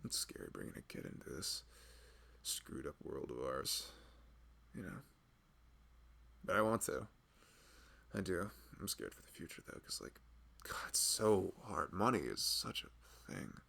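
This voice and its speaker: A man playing a loving, nervous young husband and father-to-be in an intimate, rambling, soft-spoken ASMR roleplay. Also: animated, unhurried, long pauses, full character voice. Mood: worried, tender. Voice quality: low, gravelly voice. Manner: rambling, soft-spoken, chuckling, often drifting toward a murmur.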